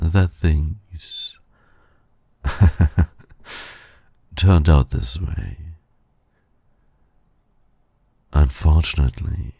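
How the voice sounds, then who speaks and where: A man playing a suave, flirtatious villain who teases and courts his nemesis in an intimate ASMR roleplay, with a husky, gravelly, breathy voice. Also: rumbling low, no room sound, heavily acted. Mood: smug, flirtatious. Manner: slow, breathy, suave teasing with soft chuckles.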